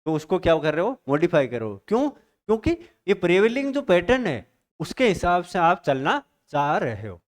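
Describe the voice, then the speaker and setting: A male teacher giving an exam-prep literature lecture, explaining step by step, reading definitions slowly and checking in with his students. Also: high voice, very animated. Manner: reads English definitions slowly.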